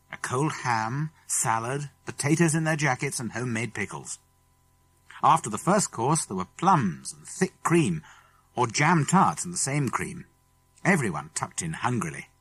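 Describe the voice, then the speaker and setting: A man's voice: mid-range voice, very animated, measured pace, fairly bright tone, husky, faint music behind. A man with a British accent reading a children's story as an audiobook narrator.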